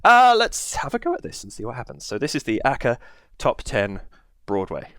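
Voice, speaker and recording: A man's voice: medium pitch, wildly swooping pitch, normal speed, fairly bright tone, no room sound. A man hosting his reaction channel from a basement studio, chatting casually with a head cold and rambling through many fillers. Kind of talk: casual to-camera chat.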